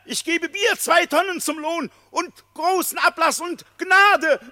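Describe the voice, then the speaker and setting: A man's voice: a little slow, pushed and bright, heavily acted. A man voicing a frantic village priest, pleading and declaiming comically in a theatrical verse fable. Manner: shrill, beseeching, declamatory. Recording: long hall reverb.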